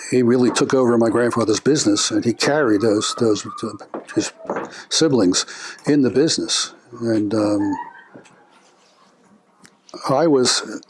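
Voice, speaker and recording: An older man being interviewed about his family, speaking in a reflective, unhurried way with frequent pauses and filler words. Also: mid-range voice, darkish tone, breathy edge. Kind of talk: family oral history interview.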